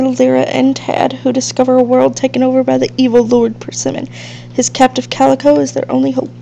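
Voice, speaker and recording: A high-voiced woman hosts a casual gaming let's play, sounding nasal and congested from allergies, with heavy vocal fry throughout. She rambles in an unhurried way, and the home recording is a little dull, with faint hiss. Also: warm tone. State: stuffed up with allergies. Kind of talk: casual gameplay commentary.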